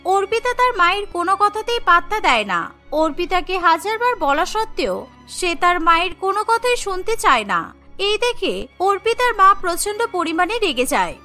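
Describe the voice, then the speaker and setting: Woman, cartoon story narrator, very high voice, bright, lively intonation, music behind. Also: normal speed.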